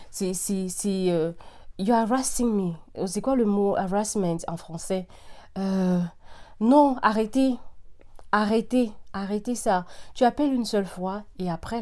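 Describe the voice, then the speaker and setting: A woman hosting a casual vlog, talking straight to her viewers in an animated, insistent way with repeated phrases and bits of English slang. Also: medium pitch. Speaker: vlog host giving opinions to viewers. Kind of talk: casual direct-to-viewer chat.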